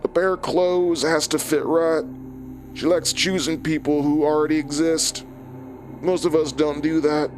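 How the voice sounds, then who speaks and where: A male actor plays a cold, remorseless killer in a horror audio drama. His voice sits a little high for a man, and he speaks in short, matter-of-fact phrases without pity. Faint music runs behind him. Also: flat delivery, a little slow, close to an everyday voice. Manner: clipped, matter-of-fact, callous. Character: cold, remorseless killer, an old enemy recounting murders.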